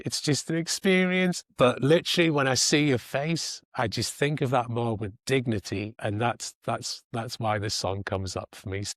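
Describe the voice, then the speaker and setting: A man's voice: medium pitch, dry recording, studio quality. A man hosting an interview podcast, talking reflectively and repeating words as he gathers his thoughts. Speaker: podcast host. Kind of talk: casual interview talk.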